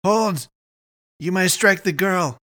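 A narrator voicing a protective, commanding young village man in a fantasy audiobook, speaking with forceful urgency. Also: mid-range voice, moderate pace, no room sound, studio quality, natural voice.

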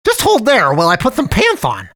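A jolly, chubby storybook cat man, purring in a folksy drawl. His voice leaps high and loud and swoops all over as he coaxes and charms. The recording is dry and close. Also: wildly swooping pitch, a little fast, no echo, heavily acted. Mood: friendly, jolly. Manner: sweetly cajoling, theatrical.

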